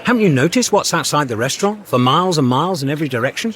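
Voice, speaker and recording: Man as a breezy space traveller, lively pitch. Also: rapid-fire, natural voice. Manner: casual, knowing. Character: breezy, worldly galactic traveller explaining things to friends. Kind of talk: radio science fiction comedy acting.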